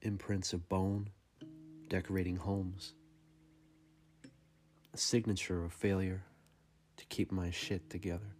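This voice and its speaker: A man giving a dramatic, scripted reading of poetry in a somber, measured and deliberate manner. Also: low voice, level tone, darkish tone, soft background music. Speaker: podcast host reading poetry.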